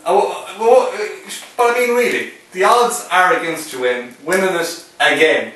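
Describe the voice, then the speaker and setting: A man interviewing a poker player in a teasing, excitable way, often stumbling and restarting his sentences. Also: high voice, a little slow. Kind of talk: joking casual chat. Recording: echoey room, voice from far off, faint noise.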